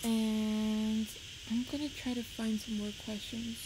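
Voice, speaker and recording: A young woman with a Puerto Rican accent chatting on a livestream, talking fast and rambling nervously. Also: on the high side, little pitch movement. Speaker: live streamer. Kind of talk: rambling casual chat on a livestream. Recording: noisy home stream audio.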